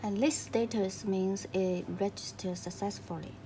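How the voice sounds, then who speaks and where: A woman giving step-by-step instructional narration in a halting, simple way of phrasing. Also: medium pitch, steady tone. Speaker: tutorial narrator. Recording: clearly noisy recording with room ambience.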